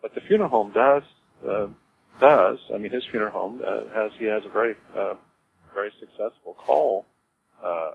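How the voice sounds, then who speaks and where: A man answering interview questions informally as a business executive, in a halting way, repeating words as he explains. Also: lowish voice. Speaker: cemetery executive being interviewed. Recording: dull lo-fi audio with clear noise.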